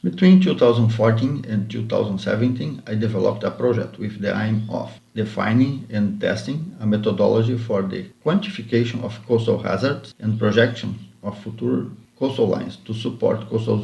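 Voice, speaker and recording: A man with a Brazilian Portuguese accent, a Portuguese native speaker giving a prepared conference presentation as a university researcher, speaking in careful, measured, slightly halting English into a home computer mic. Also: fairly low pitch, vocal fry, warm tone, lo-fi sound.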